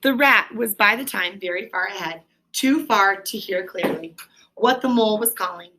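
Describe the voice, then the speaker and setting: A woman's voice: mid-range voice, normal speed, sharp tone, rough recording. A woman teacher reading a story aloud and explaining it to pupils, with an emphatic, questioning delivery.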